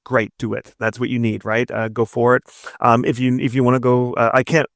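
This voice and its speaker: A man's voice: medium pitch, little pitch movement, fairly quick, vocal fry, dry recording. Man explaining his craft, dry close sound. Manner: emphatic, explanatory, often tags points with "right?". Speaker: guest narrative designer and game writer. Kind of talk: conversational interview advice.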